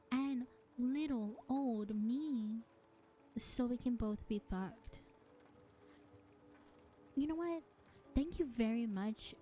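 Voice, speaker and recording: A woman's voice: a little high, dark tone. A woman voicing an exasperated, sarcastic vampire in an audio roleplay, drawling with mockery and scorn. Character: sarcastic, exasperated, foul-mouthed female vampire.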